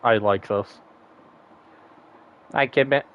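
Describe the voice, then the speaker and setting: A man's voice: medium pitch, slow, clean tone, narrow, tinny audio. A man streaming a game, chatting casually and rambling, laughing often and swearing now and then. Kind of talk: casual gaming stream chat.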